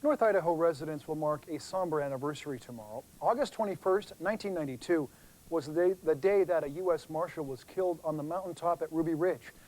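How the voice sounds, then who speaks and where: Quick-talking male news anchor. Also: fairly high pitch, a little mellow, rough recording. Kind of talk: scripted newscast delivery.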